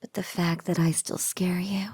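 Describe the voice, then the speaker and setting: A woman playing a teasing, possessive female spider monster in an intimate audio roleplay, with a breathy, cooing drawl that often slips into whispers. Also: fairly low pitch, level tone, normal speed, darkish tone, dry recording. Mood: tender, musing. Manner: teasing, drawling coo with giggles.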